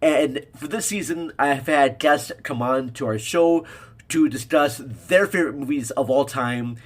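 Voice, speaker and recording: Medium-pitched male podcast host. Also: a little slow. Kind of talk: podcast introduction.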